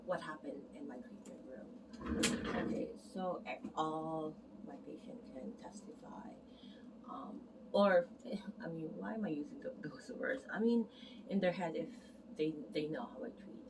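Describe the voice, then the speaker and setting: Low-voiced rambling woman therapist. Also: animated, unhurried. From Canada immigrant, arrived as a teen. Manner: rambling, self-correcting, earnest. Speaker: massage therapist. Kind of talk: casual talk to camera. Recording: distant mic, noticeable background noise.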